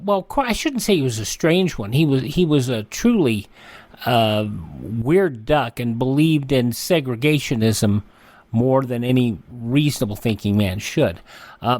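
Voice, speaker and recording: A man hosting a radio show, reading a this-day-in-history rundown with offhand asides and quick self-corrections. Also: mid-range voice, a little mellow, no room sound. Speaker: radio host.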